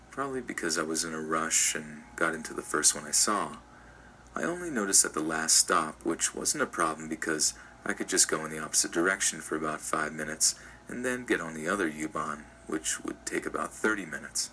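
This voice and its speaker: Very deep, bright-toned male story narrator. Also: a little hiss or hum, low-quality recording. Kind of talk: scripted first-person story reading.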